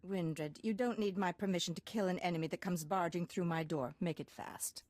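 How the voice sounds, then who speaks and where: A woman's voice: on the low side, fairly even intonation, moderate pace, a little mellow, no echo, natural voice. An actress playing a haughty, easily rattled aristocratic lady in a video game, refined and dramatic. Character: haughty young aristocratic lady, anxious and pleading when threatened. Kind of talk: video game cutscene acting.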